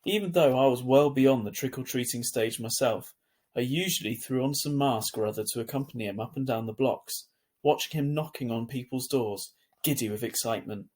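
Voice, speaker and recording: A man's voice: mid-range voice, fairly quick, darkish tone. A man reading a children's novel aloud as scripted narration, like a teacher to a class.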